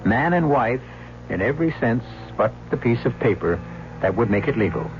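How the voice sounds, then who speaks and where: A man narrating an old-time radio drama as a grave storyteller of doom, with a measured, theatrical delivery. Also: medium pitch, animated, slight background noise, lightly acted. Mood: grave storytelling. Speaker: host and narrator. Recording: dull vintage radio, music behind.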